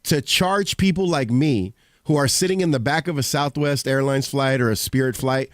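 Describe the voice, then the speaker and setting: A man co-hosting a radio show in casual chat, sounding nasal from a cold, animated and joking. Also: mid-range voice, moderate pace, dry recording, studio quality. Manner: animated, joking, repeats words. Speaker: radio co-host.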